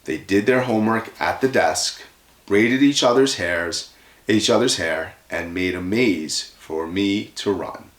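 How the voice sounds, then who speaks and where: A man's voice: fairly low pitch, unhurried, a bit bright. A man reading a children's story aloud expressively, as a teacher would. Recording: echoey room.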